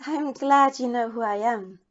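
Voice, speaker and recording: A woman's voice: on the high side, unhurried, muffled recording, lightly acted. A woman playing a rich, anxious young wife in an audio play, speaking in a proper, imperious manner. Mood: composed, urgent. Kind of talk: amateur audio play acting.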